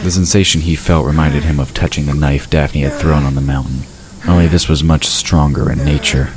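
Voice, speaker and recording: A man's voice: extremely low pitch, fairly even intonation, fairly quick, crowd noise. A man narrating a scripted fantasy audio drama. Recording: noisy ambient sound effects behind.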